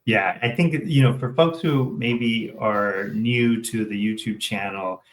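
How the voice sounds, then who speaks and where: Man, lowish voice, casual show co-host, reflective, conversational check-ins, roomy echoey sound. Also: takes it slowly. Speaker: co-host of a local civic affairs show. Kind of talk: casual discussion.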